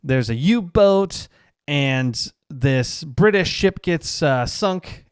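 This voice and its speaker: Clear-voiced man giving a casual review. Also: medium pitch, a little mellow, no echo, clean studio sound. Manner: informal, enthusiastic, gushing. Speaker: reviewer, show host. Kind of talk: casual solo review talk.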